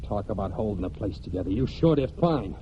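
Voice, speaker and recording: An actor playing a proud, hot-tempered young country man in 1940s radio drama, with folksy rural speech and dramatic pauses. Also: on the low side, expressive, slight background noise, close to an everyday voice. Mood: bitter, angry. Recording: dull 1949 radio recording, music behind.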